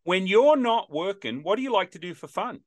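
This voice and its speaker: A man's voice: sits high, expressive, moderate pace. A man in his fifties hosting a podcast interview, chatting in a rambling, storytelling way with frequent restarts. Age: 50s. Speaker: podcast host. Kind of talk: casual interview chat.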